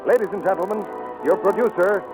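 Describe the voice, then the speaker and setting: Old 40s american radio announcer guy, high pitched, grand and formal, music playing. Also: fairly quick, poor audio.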